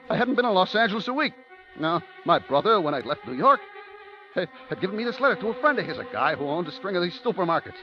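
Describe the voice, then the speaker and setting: An actor playing a trapped, frantic store clerk in a halting, repetitive first-person monologue from a 1950s radio thriller, on an old recording. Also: male voice, a little high, dull audio. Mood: nervous explaining. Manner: halting, repetitive, rambling, haunted. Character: trapped, frantic young man, a store clerk confessing his tale.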